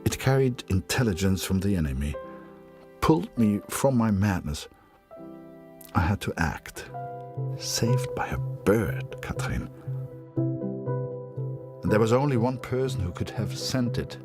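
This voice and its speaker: An actor playing a weary, tormented German soldier, narrating his letters home from the war in a solemn, measured way. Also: lowish voice, animated. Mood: anguished relief. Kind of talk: dramatic scripted narration. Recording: loud music under the voice. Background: loud music.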